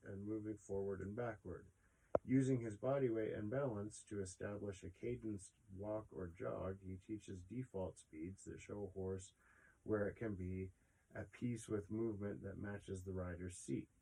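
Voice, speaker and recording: A man's voice: fairly low pitch, dark tone, husky, poor audio. A man hosting a podcast, reading an article aloud with casual asides and stumbling a little over words.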